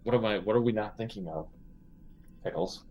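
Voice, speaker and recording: A man's voice: lowish voice, fairly quick, a little mellow. A man playing a tabletop game, bantering casually in a wry, joking way.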